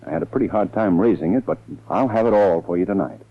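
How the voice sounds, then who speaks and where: A man's voice: low voice, quick pace. An actor playing an authoritative, scheming male lawyer in a 1940s radio drama, speaking firmly and insistently. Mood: cold insistence. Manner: firm, insistent, commanding. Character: scheming, authoritative lawyer urging and pressuring others. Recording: muffled, thin 1940s radio recording.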